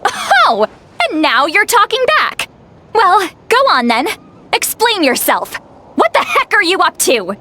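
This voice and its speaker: A woman playing a feisty, sharp-tongued granny in a video game, youthful-sounding, sassy and exasperated. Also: extremely high pitch, huge pitch swings, a little slow, a little hiss or hum, full character voice. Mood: indignant.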